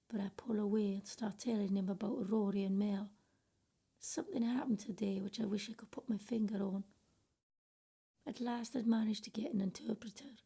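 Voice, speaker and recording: A woman playing a wry, weary professional woman in a radio play monologue, confiding drily in a husky, breathy, creaky voice. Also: mid-range voice, dark tone. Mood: uneasy.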